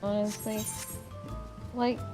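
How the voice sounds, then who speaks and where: A woman's voice: mid-range voice, level tone, takes it slowly, mellow, faint music behind. A woman chatting casually and bluntly, with some swearing, while playing an online game. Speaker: gaming video host.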